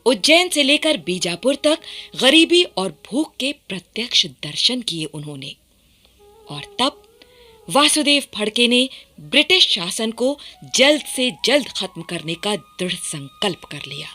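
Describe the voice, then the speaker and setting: A woman's voice: a little high, big pitch swings, unhurried, pushed and bright. A woman giving scripted, dramatic narration for a radio play.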